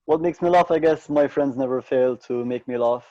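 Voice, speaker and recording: A man's voice: medium pitch, moderate pace, dry recording. A man of twenty-one casually introduces himself over a video call. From Malta. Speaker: student, panel participant. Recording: dull, dry video-call audio.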